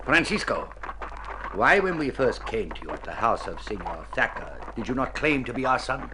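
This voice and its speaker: A man playing a grave, dignified older Spanish father in a radio drama, speaking formally and with measured care. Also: medium pitch, very animated, lightly acted. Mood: earnest, yearning. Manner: formal, measured, stilted phrasing. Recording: noisy old radio drama recording.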